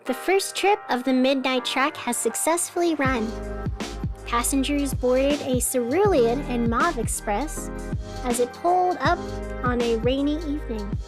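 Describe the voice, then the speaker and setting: An actress playing an anxious radio host in a scripted fictional broadcast, deliberate and emphatic with strained cheerfulness. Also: female voice, high-pitched, over music. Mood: earnest reporting. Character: anxious woman radio host insisting everything is fine.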